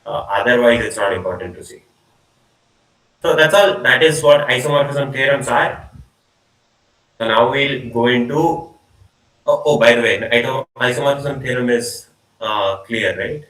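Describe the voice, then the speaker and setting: A young man lectures on maths over a video call, his voice bright and a little distant from the laptop mic. The room gives it a clear echo. He talks informally, restarting words and letting sentences trail off. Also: medium pitch, a little slow, pauses often. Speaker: student lecturer. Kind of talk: online math lecture. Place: small room indoors. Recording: echoey video call audio.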